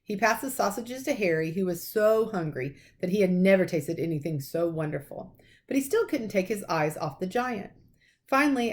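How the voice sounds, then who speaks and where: A woman reading a children's story aloud like a teacher, in expressive narration. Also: mid-range voice.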